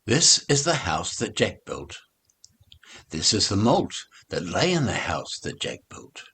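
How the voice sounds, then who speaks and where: A man reading nursery rhymes aloud as a storybook recitation, in a measured, rhythmic delivery. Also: on the low side, big pitch swings, a bit bright, breathy edge.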